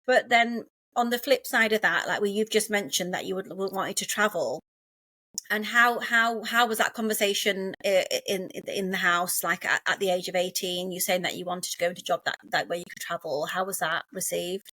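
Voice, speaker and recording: A woman's voice: medium pitch, steady tone, a little fast, pushed and bright, dry recording. A woman hosting a podcast, asking long, meandering questions full of restarts. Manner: rambling questions with many restarts, frequent "mm-hmm". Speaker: podcast host. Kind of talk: interviewer conversation.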